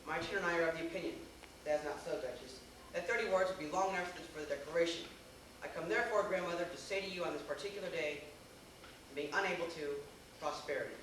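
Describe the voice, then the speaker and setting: A man's voice: on the high side, fast talker, fairly bright tone, lightly acted. A man playing a fussy, deferential tutor in an amateur stage play, speaking in a formal, declamatory way. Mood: formal, pleading. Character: fussy, deferential male tutor to a young master. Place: theater stage, recorded from afar. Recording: echoey noisy theater, distant microphone.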